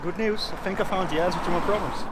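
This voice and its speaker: A man's voice: sits high, quick pace. A young man out of breath from walking, vlogging by a rural road with dry, self-mocking humor, recorded on a small action camera. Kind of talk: walking travel vlog. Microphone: handheld action camera. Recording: faint outdoor background noise.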